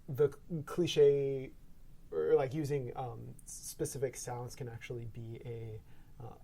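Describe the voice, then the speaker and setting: A man's voice: medium pitch, level tone, takes it slowly, gravelly, mellow. A man guest on a podcast, speaking in a hesitant, thoughtful way with many fillers. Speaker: sound designer guest. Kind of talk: casual podcast chat.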